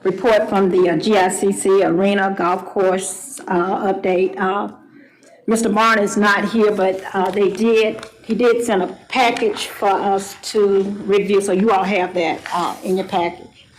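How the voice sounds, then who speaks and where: A woman chairing a public board meeting, speaking haltingly with many fillers. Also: medium pitch, fairly even intonation, slight background noise, low-quality recording.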